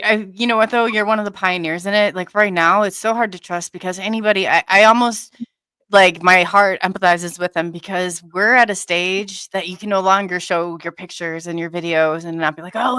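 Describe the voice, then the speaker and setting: Woman host, chatty and halting, frequent restarts, dry close sound, mid pitch. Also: steady tone, pushed and bright, clear, resonant tone, no echo. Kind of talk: casual online show interview.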